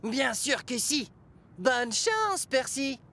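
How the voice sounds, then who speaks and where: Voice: high-pitched, sing-song, slow, pushed and bright, exaggerated voice. Cartoon male engine, teasing theatrical storyteller. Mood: taunting. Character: teasing, mischievous male train engine, show-off. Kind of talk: children's cartoon dub acting.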